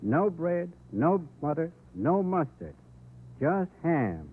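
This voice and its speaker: A man's voice: on the high side, very animated, takes it slowly, noisy background. A man acting a pompous, mock-grand hotel man in 1930s radio comedy, on an old tinny broadcast. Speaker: comic guest on a radio variety show.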